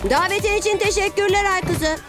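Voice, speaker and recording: A very high child's voice played by a woman for an enthusiastic little cartoon hero boy. He is trusting and earnest, over light background music. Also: fairly even intonation, brisk. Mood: hopeful. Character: eager, trusting six-year-old superhero boy. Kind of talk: animated cartoon dubbing.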